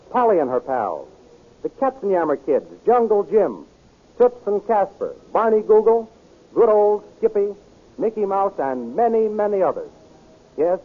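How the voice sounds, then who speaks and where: A man with an American accent announcing and narrating an old radio serial in a dramatic, emphatic manner. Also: high voice. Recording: tinny, noisy old radio recording.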